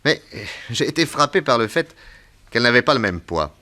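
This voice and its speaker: An actor playing a self-assured, amused detective with a theatrical, teasing manner in a French radio detective play. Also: male voice, mid-range voice, sing-song, a little fast, pushed and bright. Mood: pleased, explaining. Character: cool, amused male detective posing as a gentleman thief.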